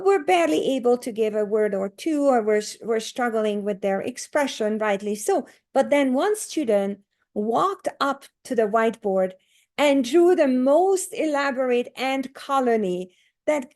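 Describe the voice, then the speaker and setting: Woman, earnest and explanatory. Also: a little high. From Hungary. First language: Hungarian. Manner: earnest, explanatory, lively with many fillers. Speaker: guest author and educator. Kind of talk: podcast interview.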